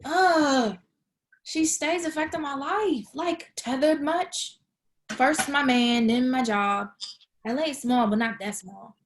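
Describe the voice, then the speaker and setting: A woman's voice: high voice, slow pace, slight background noise. A woman playing a frustrated, slangy young woman in a comedy script read. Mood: exasperated. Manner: slangy, exasperated. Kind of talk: comedy table read acting.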